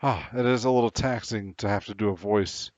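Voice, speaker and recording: A man's voice: fairly low pitch, fairly quick, darkish tone, no room sound. Man, podcast co-host, stumbling hesitant speech, dry lo-fi audio. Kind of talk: casual chat. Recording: dull lo-fi dry recording.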